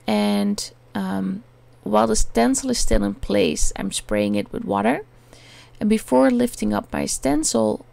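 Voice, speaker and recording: A Dutch woman with a Dutch accent, talking through a crafting tutorial in a hesitant way, often pausing with "um" while she searches for words. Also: medium pitch, creaky, darkish tone. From Netherlands. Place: home attic.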